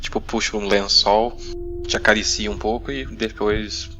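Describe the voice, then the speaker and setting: Low-voiced Brazilian man narrating a game. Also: little pitch movement, normal speed, highs cut off, background noise. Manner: hesitant, colloquial, full of tag words. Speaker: game master. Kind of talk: improvised tabletop RPG narration, casual. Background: music bed.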